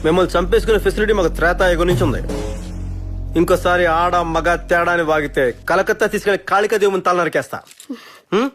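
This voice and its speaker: A man's voice: high-pitched, fast talker, over music, lightly acted. An actor playing a menacing, vengeful man delivering threatening film dialogue.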